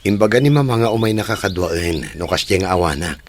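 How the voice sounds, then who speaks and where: A man's voice: sits low, lively intonation, brisk, a little hiss or hum. A man playing a firm, eager young husband and father in a radio drama, with an Ilocano accent. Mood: caring. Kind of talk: Filipino radio drama acting. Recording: noticeable background ambience with birdsong.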